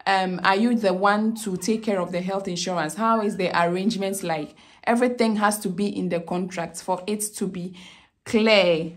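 A woman's voice: medium pitch, fairly even intonation, moderate pace. A woman in her thirties with a Ghanaian accent, explaining visa steps to camera in a casual, repetitive way, often checking in with "okay?". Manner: repeats "okay?" often, many fillers and restarts. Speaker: vlogger giving visa advice. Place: small indoor room with plain wall.

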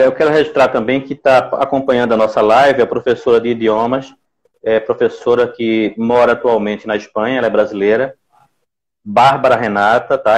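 A man in his forties with a northeastern Brazilian accent from Recife, hosting a conversational live interview in a didactic way, repeating phrases for emphasis. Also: mid-range voice. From Pernambuco, Brazil. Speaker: live stream host. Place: indoor room at home. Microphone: earphone mic on a video call.

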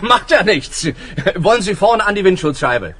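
A man's voice: high-pitched, very animated, normal speed. A man playing a courteous, kindly police inspector in a children's radio play, polite and obliging. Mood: cheerful, obliging. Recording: radio play, loud car noise.

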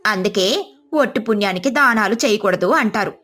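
A woman's voice: medium pitch, lively intonation, moderate pace, fairly bright tone, voice pushed down, natural voice. A woman voicing a scornful, blunt village neighbour who scolds dismissively in a moral story. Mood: reproachful. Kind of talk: moral story character voices.

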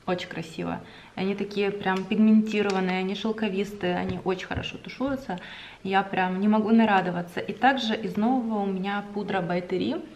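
A woman's voice: medium pitch, steady tone, darkish tone, a little hiss or hum. Chatty young woman vlogging about makeup. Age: young adult. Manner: chatty, enthusiastic about cosmetics, drawn-out fillers. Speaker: beauty vlogger. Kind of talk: casual chat to camera. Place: home room indoors.

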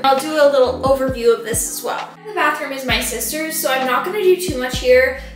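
A chatty young woman with a bright, high voice narrates her cleaning day in a casual, upbeat way. She sounds a little distant in an echoey room at home, with music playing under her. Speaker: lifestyle vlogger. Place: rooms of a house, indoors. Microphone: camera on a tripod at a distance.

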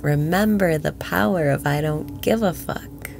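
Slow, low-voiced woman guiding a meditation. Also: vocal fry, a little mellow, slight background noise. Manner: slow soothing delivery, drops into whispers. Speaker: meditation guide. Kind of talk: guided breathing meditation.

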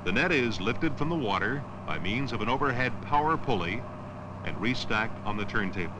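A man narrates an educational film from around 1970 in a measured, declarative style. His voice sits at a medium pitch and moves with lively inflection. The old soundtrack is clearly noisy, with boat and outdoor ambience behind him.

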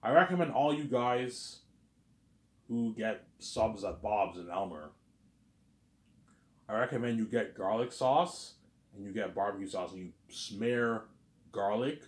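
A man's voice: fairly low pitch, darkish tone, echoey room. A man in his thirties rambling casually in a monologue to his viewers, repeating himself and stumbling over words. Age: 30s. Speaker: vlogger.

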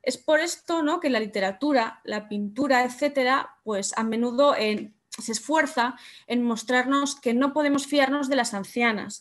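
A young woman with a fairly high voice lectures over a webcam from her room at home. She keeps it conversational and keeps throwing rhetorical questions at her listeners. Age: young adult. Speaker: speaker giving a talk. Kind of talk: online conference talk.

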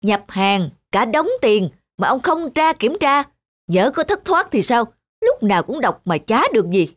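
A woman's voice: on the high side, big pitch swings, a little slow. A woman playing a nagging, money-minded merchant's wife in a cartoon. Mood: scolding. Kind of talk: cartoon voice acting.

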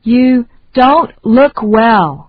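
A woman reading short phrases slowly and deliberately for learners to repeat. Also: fairly high pitch, lively intonation, no echo, phone-quality sound. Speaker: English lesson reader.

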